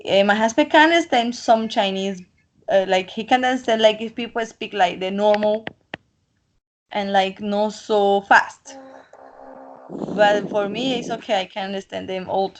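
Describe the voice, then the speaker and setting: A woman's voice: medium pitch, a little slow, a little hiss or hum. Talkative woman with a Colombian accent. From Colombian coast. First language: Spanish. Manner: talkative, rambling, self-assured. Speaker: interviewee. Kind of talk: casual chat.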